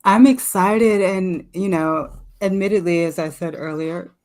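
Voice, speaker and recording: A woman's voice: on the low side, a little slow, a little mellow. A woman speaking as a guest in casual chat, playful and slightly nervous. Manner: playful, admittedly a bit nervous. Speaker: guest poet.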